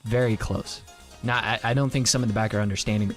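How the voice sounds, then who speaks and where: A man's voice: lowish voice, steady tone, fast talker, faint music behind. A man hosting a scripted video review, narrating with casual asides. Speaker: manga reviewer, video host.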